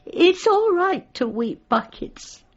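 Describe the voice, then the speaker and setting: A woman's voice: very high-pitched, sing-song, slow, dull audio, full character voice. A woman acting a genteel, effusive British dog lover, feeble but defiant, in a radio comedy drama. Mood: mournful. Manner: effusive, melodramatic, genteel turns of phrase.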